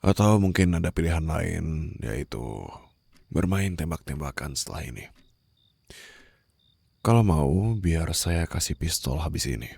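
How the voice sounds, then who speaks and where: Very deep, gravelly mafia boss, acted by a man. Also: expressive, darkish tone, no room sound, heavily acted. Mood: taunting. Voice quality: gravelly, breathy, often dropping to a whisper. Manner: slow, taunting, controlled threats. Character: menacing, condescending young male mafia boss holding a captive. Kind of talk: ASMR roleplay acting.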